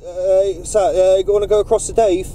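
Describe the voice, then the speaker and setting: A man running a CB radio net, chatting informally in radio jargon with halting phrasing. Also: sits high, flat delivery, over loud music.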